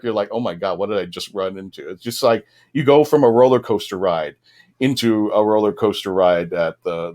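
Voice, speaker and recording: A man chatting enthusiastically as a podcast panelist, telling things in an animated way and acting out reactions. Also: fairly low pitch. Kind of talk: enthusiastic fan panel chat.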